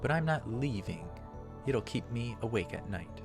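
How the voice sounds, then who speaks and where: Man putting on a stubborn young woman, pitched up. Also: expressive, moderate pace, gravelly, over music. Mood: firm, resigned. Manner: firm, questioning. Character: young woman scientist, stubborn, defiant assistant.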